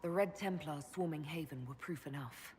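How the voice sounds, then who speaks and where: Actress as very low-voiced, composed heroine. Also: female voice, moderate pace, a little mellow, lightly acted. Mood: composed, resolute. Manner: composed, direct, formal. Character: composed, authoritative female leader, a mage heroine. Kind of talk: dramatic video game cutscene acting. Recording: hall reverb with background noise.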